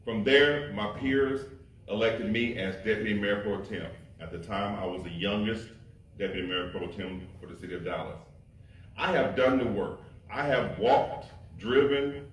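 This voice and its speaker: A man running for city council who gives a confident opening statement, listing his credentials at a public forum. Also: lowish voice. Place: community hall. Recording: echoey hall mic from a distance, light noise.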